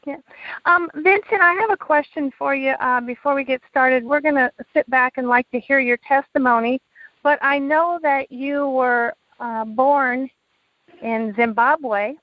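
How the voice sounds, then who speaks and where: Woman host, high-pitched, friendly, dull lo-fi audio. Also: measured pace, dry recording. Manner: friendly, with hesitant fillers. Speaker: radio show host.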